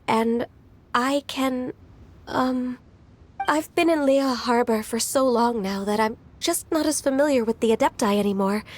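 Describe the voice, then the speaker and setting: Gentle, high-voiced shy young maiden. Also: female voice. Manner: soft-spoken, hesitant, earnest. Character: gentle, shy, earnest young half-immortal woman. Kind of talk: video game character acting. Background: music.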